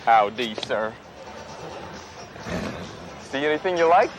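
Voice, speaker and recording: A man's voice: medium pitch, expressive, takes it slowly. An actor playing an eager, fawning male car salesman with a chirpy, eager-to-please manner. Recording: noisy background, off-mic.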